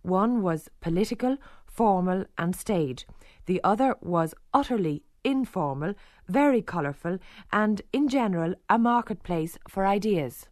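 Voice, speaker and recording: Female broadcaster, measured documentary narration, dry close studio sound. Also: medium pitch, expressive, a little mellow, no room sound. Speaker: broadcaster and reporter. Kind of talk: scripted radio documentary narration.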